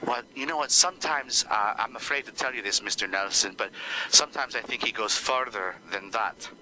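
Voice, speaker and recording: A man voicing an exasperated female Spanish teacher who swears, complains and uses broken grammar, with a low voice for a woman. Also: fairly quick, pushed and bright, a little hiss or hum, rough recording. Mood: complaining. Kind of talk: one-man comedy skit.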